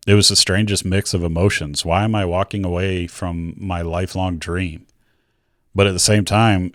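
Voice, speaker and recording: A middle-aged American man with a low voice narrates a personal story for his viewers. His delivery is candid and reflective. The sound is close and dry, with hardly any room to it. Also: no room sound. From Georgia, United States. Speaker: video creator telling his own story.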